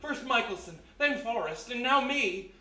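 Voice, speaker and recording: A man's voice: very high voice, expressive, moderate pace, pushed and bright, heavily acted. A man playing a desperate, raving accused man, pleading and overwrought, in a live radio melodrama. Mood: frantic pleading. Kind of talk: live old-time radio melodrama acting. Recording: echoey live stage, distant mic.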